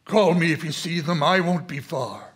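A man's voice: fairly high pitch, normal speed, natural voice. A man playing a grief-broken, haunted working man in a live audio drama, with terse, insistent questioning.

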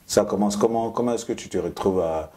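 A man hosts a sit-down interview. His voice sits low, and he asks his questions in a friendly, encouraging way. He is recorded on a lapel mic in a bright studio room. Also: fairly quick. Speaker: interview host. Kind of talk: talk show interviewing.

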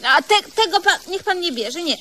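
A woman's voice: very high-pitched, lively intonation, normal speed, pushed and bright, heavily acted. An actress playing a bossy, exasperated, scornful woman who scolds imperiously in a comic radio play. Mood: brisk, dismissive. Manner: scolding, imperious, mock-solemn catchphrases. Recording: noisy old radio recording.

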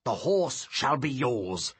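A man voicing a bitter old male sorcerer villain who speaks slowly and grumbles. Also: mid-range voice, sing-song, fairly bright tone, husky, heavily acted. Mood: grudging. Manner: grumbling, slow and menacing.

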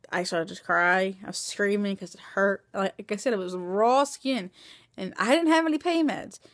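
A woman of twenty talking into a phone camera at home at night, tired and in pain after surgery, rambling with many halting restarts. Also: mid-range voice, dry recording, clean studio sound. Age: 20s. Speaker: vlogger. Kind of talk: casual video diary. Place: small room indoors at night. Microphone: phone camera held close.

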